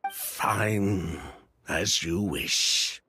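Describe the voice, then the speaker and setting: An actor playing a guarded, smooth-talking merchant in scripted game dialogue, with a suave, theatrical manner and veiled menace. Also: male voice, deep voice, pushed and bright, a little hiss or hum, heavily acted.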